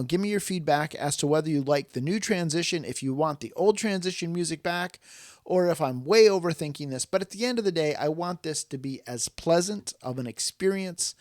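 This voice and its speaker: Higher-voiced American man hosting a folksy podcast. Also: dry recording, studio quality. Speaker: podcast host. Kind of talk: casual chatty solo podcast.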